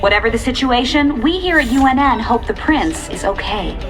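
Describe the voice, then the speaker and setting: Lady acting game tv reporter, earnest n polished, bit high voice, news cadence. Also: lively intonation, moderate pace, over music, natural voice. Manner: crisp broadcast reporting cadence. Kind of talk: TV newscast in a video game.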